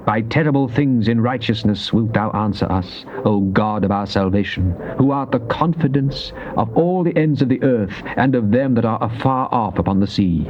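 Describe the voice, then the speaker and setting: A man acts a devout psalmist in a dramatized Bible recording, praying fervently. He declaims solemnly in measured phrases. The old cassette sound is dull, with a faint hiss behind him. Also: lowish voice, a little mellow, lightly acted. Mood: reverent. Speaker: scripture reader. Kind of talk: dramatized King James Bible reading.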